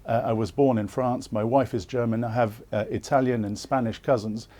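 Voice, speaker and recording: A man being interviewed on live political TV, earnest and insistent, pausing often with hesitations as he makes his case. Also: medium pitch, fairly even intonation, warm tone. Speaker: interviewee, former political candidate.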